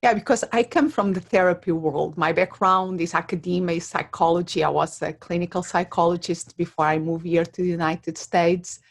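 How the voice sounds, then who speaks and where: Lower-voiced middle-aged Portuguese-accented woman. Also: steady tone, moderate pace, hardly any pauses. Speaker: interview guest, career coach. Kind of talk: conversational interview explanation.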